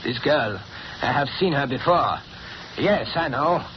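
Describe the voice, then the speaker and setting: A man's voice: mid-range voice, lively intonation, unhurried, lightly acted. Man doing a jumpy french henchman, stiff and polite, swingy voice, old hissy radio. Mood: wary. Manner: formal, careful speech. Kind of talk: 1930s radio drama acting. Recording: noisy, dull old radio recording.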